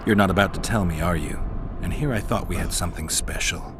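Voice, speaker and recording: A very deep, deadpan monster hunter. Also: male voice, a little fast, noisy background. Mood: wry, measured. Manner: deadpan, terse, wry. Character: gruff, stoic, dry-witted monster-hunting mercenary man. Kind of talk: video game voice acting. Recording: game music behind the voice.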